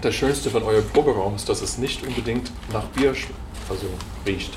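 A man's voice: lowish voice, fairly quick, echoey room, background noise. A man in casual, conversational talk. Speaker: singer joining a band. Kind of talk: casual chat.

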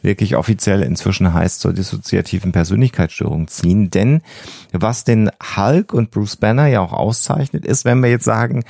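A man with a deep, gravelly voice co-hosts a podcast. He explains in a conversational way, repeating words to stress a point, in a mellow tone recorded dry and close. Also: normal speed, dry recording. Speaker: podcast co-host.

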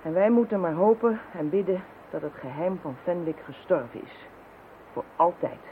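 A woman's voice: on the low side, expressive, moderate pace, narrow, tinny audio, close to an everyday voice. An actress playing a spirited, principled woman police inspector in a radio play, firm and emphatic. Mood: grave, insistent. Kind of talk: radio drama acting. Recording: thin radio recording with faint noise.

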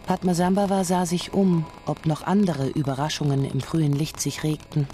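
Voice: mid-range voice, little pitch movement, normal speed, warm tone, slight background noise. A narrator reading a radio drama in a scripted, literary storytelling style. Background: music.

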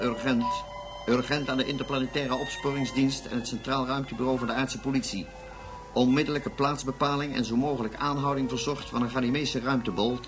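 A man's voice: mid-range voice, close to an everyday voice. A man playing a fussy, grumbling moon police officer in a science-fiction radio play, official and repetitive in manner. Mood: urgent, official.

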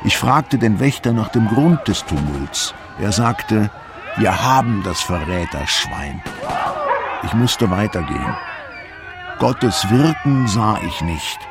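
A troubled male disciple of the early church in a radio drama. He declaims slowly in archaic language, solemn and deliberate, with bitterness and indignation toward those who have cast him out. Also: on the low side. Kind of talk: literary radio drama monologue.

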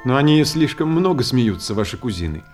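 An actor plays a travelling animal tamer, a man of few words. His medium-pitched voice moves widely yet deliberately, with a brooding, theatrical radio-play delivery. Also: sing-song, a little mellow, soft background music, lightly acted. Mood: curious, musing.

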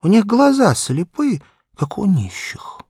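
An excited little girl from a children's storybook, acted by a man. The voice jumps high and loud, wide-eyed with wonder. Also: unhurried, creaky, slightly rough, no echo, studio quality, full character voice. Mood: puzzled.

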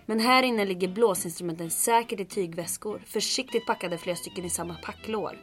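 A woman's voice: medium pitch, a bit bright, soft background music, lightly acted. An actress playing a commanding, dryly witty woman captain of a resistance group in old-time radio drama style. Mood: dry, resolute. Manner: brisk, commanding, dryly teasing. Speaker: lead character, captain.

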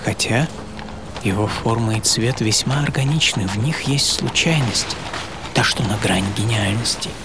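An actor playing an eccentric, dreamy architect in his seventies in a theatrical radio monologue full of pauses. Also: lowish voice, gravelly, noisy background. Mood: confiding, wondering. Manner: theatrical, pausing, swinging from hushed to emphatic. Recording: studio voice over prominent music.

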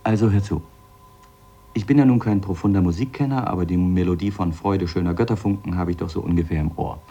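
Mellow, eager scientist theorist. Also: male voice, low-pitched, quick pace, slightly rough, dull audio. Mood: insistent. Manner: professorial, insistent, explanatory. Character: eager male scientist explaining bold speculative theories. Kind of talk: 1970s German radio drama acting. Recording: 1970s radio drama, noisy background.

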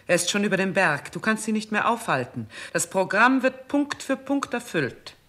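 A woman's voice: mid-range voice, big pitch swings, normal speed, fairly bright tone. Implacable queen announcing doom; scornful, exact, commanding, with sweeping intonation. Radio drama. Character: cold, stern, unsentimental queen announcing doom. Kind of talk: 1960s German radio play acting.

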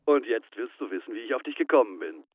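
Jovial old friend: animated, husky, heard down a thin phone line, radio-play dialogue. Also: male voice, medium pitch, fast. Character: jovial male old friend phoning, about forty. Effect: telephone filter. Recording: tinny, narrow phone line.

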